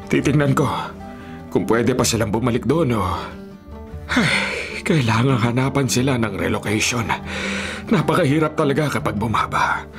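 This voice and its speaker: A man's voice: mid-range voice, moderate pace, long pauses, close to an everyday voice. An actor playing a strict, worried middle-aged father and town mayor in a radio drama, stern and emphatic, with a Filipino accent. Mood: concerned. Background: music under the voice.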